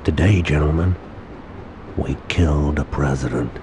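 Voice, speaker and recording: Very deep, commanding crime boss. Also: male voice, noisy background. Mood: cold, commanding. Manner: commanding, measured. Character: commanding, cold crime boss briefing his crew, male. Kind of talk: video game cutscene acting.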